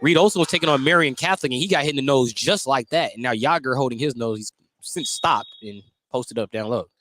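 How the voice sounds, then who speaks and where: A man's voice: medium pitch, fast, fairly bright tone, no room sound. An American man doing live radio play-by-play of a basketball game. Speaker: play-by-play announcer. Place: indoor school gym during a game. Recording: noisy gym broadcast. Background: gym noise, bouncing basketballs.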